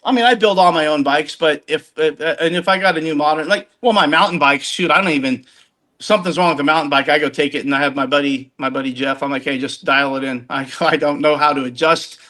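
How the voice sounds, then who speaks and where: A man's voice: a little high, fast, bright, forceful tone. A man in his fifties chatting casually, rambling and often restarting his sentences as he tells stories. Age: 50s. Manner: rambling, self-interrupting storyteller. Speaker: podcast guest. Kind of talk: casual chat.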